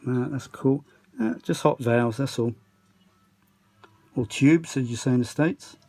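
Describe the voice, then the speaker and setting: A man with a British accent talks at a middle pitch in a casual, unscripted way. He presents like a hobbyist at the workbench, rambling along and muttering asides to himself as he goes. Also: unhurried, mellow. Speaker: hobbyist repairer presenting a video. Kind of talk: casual unscripted running commentary while working. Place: home kitchen workshop. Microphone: handheld phone.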